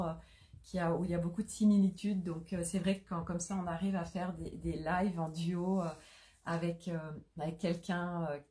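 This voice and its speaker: Soft-spoken French woman, halting and dark-toned. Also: on the low side. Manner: soft, hesitant, many fillers and restarts. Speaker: holistic coach. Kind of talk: live-streamed spiritual talk.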